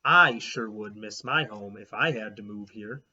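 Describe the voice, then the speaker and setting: A man's voice: on the low side, measured pace, sharp tone, slightly rough, pitched below the performer's own voice, heavily acted. A man voicing a curious, chatty young schoolgirl who is eager and outspoken, reading a children's storybook aloud. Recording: slightly dull lo-fi recording.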